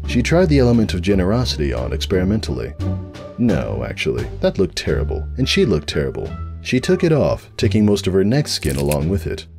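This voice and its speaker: A man's voice: sits low, huge pitch swings, brisk, vocal fry. A man narrating a comic story as a dramatic reader. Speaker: narrator of fan fiction readings. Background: music.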